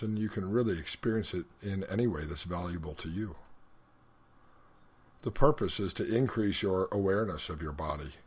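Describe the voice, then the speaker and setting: A man leading a guided meditation as an instructor, speaking in a measured, instructional way with deliberate pauses. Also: low voice, breathy edge.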